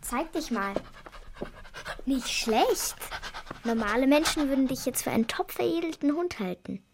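Casting: sassy, inquisitive girl, high-pitched, chatty, some noise behind. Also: lively intonation, normal speed, creaky. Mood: brisk, teasing. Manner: colloquial, sassy. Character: young girl, plucky, curious, impatient, talks to herself. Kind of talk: children's radio drama acting.

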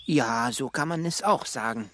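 A man's voice: mid-range voice, normal speed, pushed and bright, natural voice. A man playing an impatient, grumpy cuckoo in a children's radio play. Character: impatient, grumpy male cuckoo bent on going to sea.

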